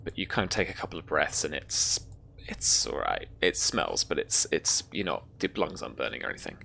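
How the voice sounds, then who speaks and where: A game master narrating a live tabletop horror game in an improvised, halting way, pausing to search for words. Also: extremely low pitch, very animated, vocal fry, bright, forceful tone, slight background noise.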